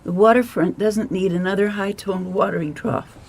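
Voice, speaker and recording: A man playing a pedantic, erudite language expert in a radio mystery, precise, with a creaky, husky voice. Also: high voice, moderate pace, heavy background noise. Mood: pedantic, analytical.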